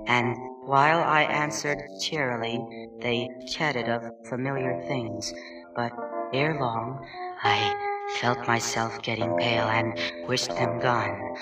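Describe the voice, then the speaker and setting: A man's voice: mid-range voice, lightly acted. A man playing a mad, cunning murderer who grows frantic, in a theatrical horror story reading. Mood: uneasy calm. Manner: theatrical, slow deliberate delivery. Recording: roomy, distant, music behind.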